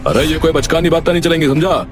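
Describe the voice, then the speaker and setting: A man's voice: on the high side, fast talker, faint music behind, lightly acted. A man voicing a greedy, bullying village landowner who schemes and commands menacingly in a cartoon moral story. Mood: angry, accusing. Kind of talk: animated moral story acting.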